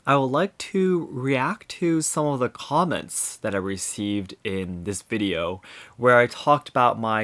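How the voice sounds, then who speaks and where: A man's voice: lowish voice, fairly bright tone. A man touched by English, hosting his own podcast and talking through his views in an earnest, thoughtful way, many examples and a frequent "you know". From Japan. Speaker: podcast host. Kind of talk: solo podcast reflection.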